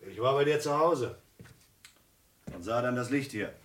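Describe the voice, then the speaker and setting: An actor playing a secretive underworld informant in a German radio crime play, clipped, guarded and matter-of-fact. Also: male voice, mid-range voice, animated, a little slow, fairly bright tone.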